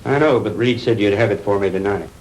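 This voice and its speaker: An actor plays a put-upon American reporter in an old 1960s film, wisecracking dryly. His voice is fairly low. Faint noise runs through the soundtrack. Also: fast talker, darkish tone, natural voice, low-quality recording. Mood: dryly impatient. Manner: dry, sardonic. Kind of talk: 1960s B-movie acting.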